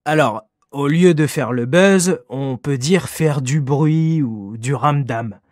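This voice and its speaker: A man with a fairly high voice explains vocabulary slowly and calmly. He speaks with care in a dry studio sound. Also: no echo. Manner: clear, measured explanations. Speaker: interviewed language expert. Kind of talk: scripted educational interview.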